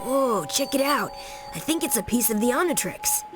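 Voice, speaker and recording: An actor voicing a cocky, impulsive ten-year-old boy hero in an animated game, brash and boastful. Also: mid-range voice, normal speed, lightly acted. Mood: excited. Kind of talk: animated video game voice acting. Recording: music loud behind the voice.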